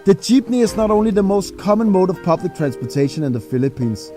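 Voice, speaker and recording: A man doing a scripted voice-over narration in a measured, list-like way, stretching out key words. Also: high voice, very animated, mellow, faint music behind. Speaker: video narrator.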